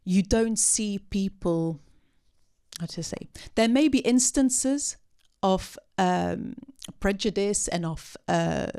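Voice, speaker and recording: A woman speaking unscripted at a post-film discussion, answering audience questions with many pauses, ums and restarts. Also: mid-range voice, animated, creaky, mellow, smooth. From part Syrian.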